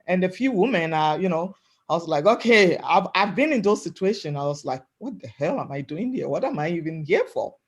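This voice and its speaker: Woman from africa on a webinar call, loud and emphatic, keeps saying "right?" and "you know". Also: fairly low pitch, lively intonation, a little fast, husky. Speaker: webinar panelist. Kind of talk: motivational talk.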